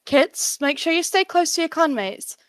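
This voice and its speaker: A woman's voice: very high-pitched, expressive, normal speed, no echo. A woman playing a firm but loving she-cat, a mate and mother, in an animal roleplay. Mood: softer, wary. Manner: scolding, then softened.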